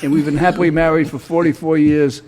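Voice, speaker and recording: A man's voice: a little high, level tone, fast, a little mellow. An older man speaking as a judge in a courtroom, questioning people in an informal, teasing way and repeating their answers back. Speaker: judge presiding in a municipal court show.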